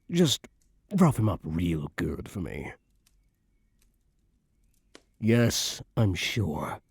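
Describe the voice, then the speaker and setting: A man playing a cocky young mafia heir who is a doting but menacing boyfriend, in an intimate ASMR roleplay with slow, smug tough-guy slang. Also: on the low side, slightly rough, no room sound, studio quality, full character voice. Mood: cold, commanding.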